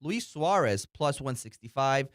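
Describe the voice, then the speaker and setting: A man's voice: medium pitch, expressive, measured pace, no echo, clean studio sound. A man co-hosting a sports show, casually reading out odds and news. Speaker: co-host and producer.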